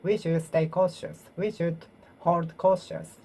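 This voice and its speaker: Low-voiced Japanese woman drilling English phrases. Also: fairly even intonation, vocal fry, dark tone. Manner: repeats and reworks phrases, pausing and self-correcting. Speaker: English learner. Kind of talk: solo speaking practice, talking to herself.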